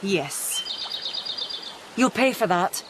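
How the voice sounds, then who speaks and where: A woman's voice: medium pitch, animated, drawn-out words. An actress playing a determined, protective, grieving mother in a radio drama, with a wry, commanding and urgent manner. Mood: hard, weary. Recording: noisy outdoor ambience behind the voice.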